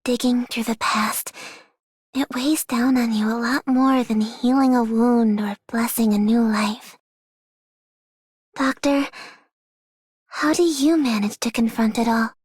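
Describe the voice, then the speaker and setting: A solemn, gentle game heroine, with a breathy, fairly high voice. The delivery is soft and scripted, recorded very dry. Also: female voice, fairly even intonation, slow pace, pauses often, dry recording. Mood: pensive, weary. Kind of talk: scripted game character voice line.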